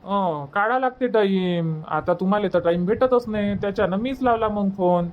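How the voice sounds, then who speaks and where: A man acts out an eager village man from a cartoon comedy. His voice sits very high for a man, and he talks in colloquial Varhadi Marathi, teasing and pressing his point. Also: moderate pace, muffled recording, close to an everyday voice. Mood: coaxing.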